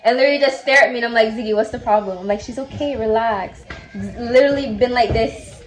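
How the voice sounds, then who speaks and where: A young woman chatting quickly with her husband for a family vlog, laughing often. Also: fairly high pitch, soft background music. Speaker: vlogger. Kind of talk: casual chat.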